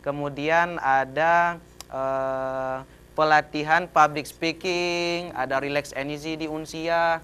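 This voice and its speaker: A man's voice: a little high, fairly even intonation, slow pace, pushed and bright, smooth. A young Acehnese-accented man, a student representative, talking politely on a studio chat show with many fillers. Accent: Acehnese Indonesian. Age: young adult. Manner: polite, hesitant with frequent fillers.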